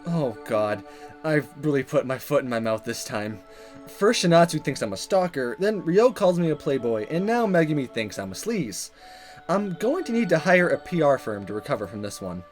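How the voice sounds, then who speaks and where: Casting: male player as animated high-pitched schoolboy, cheeky and awkward, visual novel, music underneath. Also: normal speed. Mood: flustered. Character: mischievous, awkward teenage schoolboy, cocky prankster.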